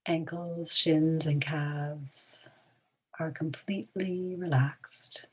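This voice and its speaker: A woman guides a relaxation meditation in a low, breathy voice. She speaks very slowly in short, soothing phrases with long pauses, often softening toward a whisper at the ends. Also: steady tone.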